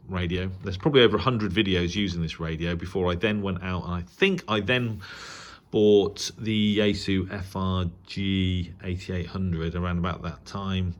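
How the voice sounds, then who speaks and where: A British man giving a casual, unscripted radio review as a hobbyist vlogger, rambling along in a hesitant, halting way. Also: low-pitched. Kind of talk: casual unboxing and review talk.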